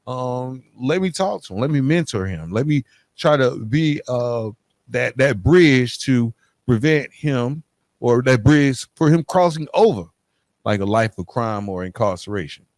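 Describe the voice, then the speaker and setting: Man, podcast host, mid-range pitch, halting delivery, searching for words, dry recording. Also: animated, darkish tone, dry recording. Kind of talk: hosting an interview podcast, wrapping up with calls to action.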